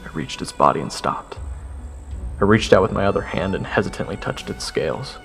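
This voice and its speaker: Young swordsman played by a man, low creaky voice, expressive pitch, earnest dramatic narration, faint music behind. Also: fairly quick, full character voice. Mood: hushed, awed. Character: nervous young swordsman recounting his dragon encounter. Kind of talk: first-person dramatic story narration with acted dialogue. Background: fantasy game-style music.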